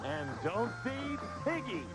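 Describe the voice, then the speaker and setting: A man's voice: very high voice, very animated, takes it slowly, over loud music. A man announcing the opening of a television game show, reciting a rhyme in a sing-song way. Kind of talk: rhyming show opening over music.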